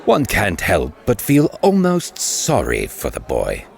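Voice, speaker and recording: Haughty nobleman for a storybook: deep, gravelly, coolly polite, over faint crowd murmur. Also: huge pitch swings, slow, exaggerated voice. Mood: haughty, mock pity. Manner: stiff, coolly polite, condescending. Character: haughty, cool aristocratic lord, host of a ball. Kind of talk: full-cast audiobook acting.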